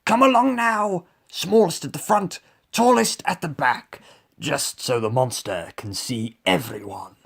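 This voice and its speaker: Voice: medium pitch, measured pace, gravelly, slightly rough, voice pushed up. A narrator voicing a pompous, blustering, cowardly lion in a storybook. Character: blustering, cowardly old-chap lion, pompous but nervous.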